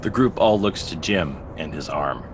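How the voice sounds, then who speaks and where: A man's voice: a bass voice, lively intonation, moderate pace, background noise. A man reading scripted drama narration as the narrator on a video call. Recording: video call under clear music.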